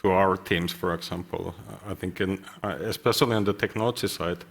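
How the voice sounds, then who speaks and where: Low flat voiced guy moderating a panel, quiet, says yeah a lot. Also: fairly quick, gravelly. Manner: soft-spoken, many backchannel yeahs.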